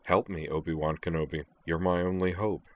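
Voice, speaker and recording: Narrator doing a low, deadpan, sardonic man. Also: normal speed. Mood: wry. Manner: laconic, muttering, deadpan. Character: unflappable, sardonic man, drily put-upon. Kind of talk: fantasy audiobook character voice.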